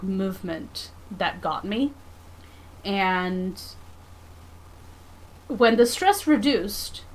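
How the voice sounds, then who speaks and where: A woman's voice: mid-range voice, low-quality recording. A woman in her thirties chatting casually on a livestream from her home drawing desk, rambling and self-deprecating. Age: 30s. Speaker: comic artist streamer.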